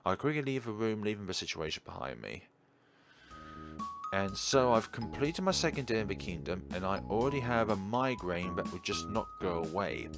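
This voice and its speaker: A man's voice: on the low side, sing-song, moderate pace, music in the background. A man hosting a gaming video, reading a visual novel aloud and commenting casually.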